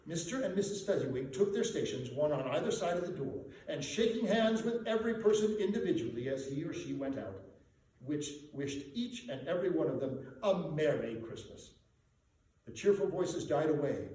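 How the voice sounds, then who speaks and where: A man giving a dramatic literary reading as a storyteller, with theatrical, emphatic and deliberate phrasing. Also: sits high, mellow. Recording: very echoey room, distant mic, background noise.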